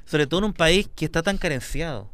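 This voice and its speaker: A man's voice: a little high, animated, brisk, fairly bright tone. A man, an artist guest in an interview, talking passionately and rambling with many fillers. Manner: rambling, fills with "digamos", passionate. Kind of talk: conversational interview.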